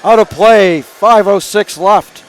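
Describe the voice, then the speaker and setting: A male play-by-play announcer with a raspy, hoarse voice calling a live hockey game from an arena booth, quick and rising to excited calls on scoring chances. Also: high voice, slight background noise, rough recording. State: hoarse from days of calling games.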